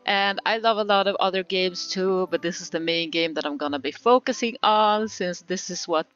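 A woman's voice: lowish voice, normal speed, fairly bright tone, smooth, dull audio, soft background music. A woman with a Swedish accent chatting casually through a game tutorial, giggling often and leaning on fillers. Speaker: gaming tutorial creator.

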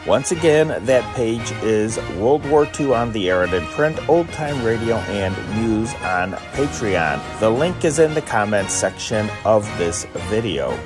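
An American man hosting an old-time radio programme, introducing it in an informal, prepared style. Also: on the low side. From Chicago area, United States.

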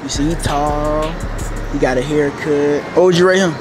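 A man's voice: on the high side, steady tone, moderate pace, over music, rough recording. A young man hosting casual street interviews, talking in playful slang with plenty of swearing. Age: young adult. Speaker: host of public interview vlog. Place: large indoor shopping mall. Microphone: wireless mic on camera shoot.

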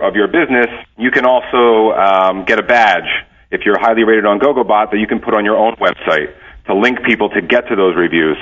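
Low-voiced man, slightly dull sound. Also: fairly bright tone. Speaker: guest, company representative. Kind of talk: conversational explaining.

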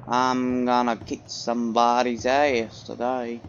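Slow-talking cursing gamer with accent. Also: male voice, medium pitch, monotone, dull audio, slight background noise. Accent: put-on British. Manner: profane, jokey, loud. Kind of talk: let's play commentary.

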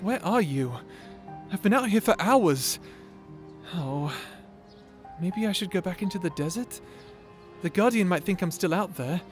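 A man's voice: high voice, a little mellow. A man playing a timid, lovesick young adventurer in an audio drama, stammering, hesitant and apologetic. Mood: dejected, anxious. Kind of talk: audio roleplay drama acting.

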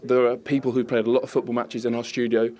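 A man's voice: mid-range voice, little pitch movement, quick pace, darkish tone. A man working as a sports reporter, putting persistent questions in a post-match interview.

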